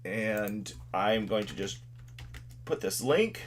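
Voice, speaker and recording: Casting note: man as a loud, low-voiced local weather livestream host, casual and halting. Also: animated. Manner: loud, halting delivery with many fillers. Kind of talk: casual live weather update. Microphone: desk microphone.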